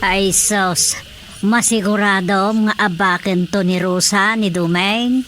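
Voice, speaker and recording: A woman's voice: medium pitch, fairly even intonation, slow, over music, natural voice. A woman playing an older, skeptical village mother conspiring in an Ilocano radio comedy. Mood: worried, gossipy. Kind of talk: Filipino radio drama comedy acting.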